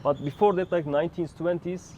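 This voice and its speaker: Young turkish-accented man, street interview, loose halting answers, faint traffic behind. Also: fairly high pitch, fairly even intonation, darkish tone. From Turkey. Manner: loose, halting, many fillers.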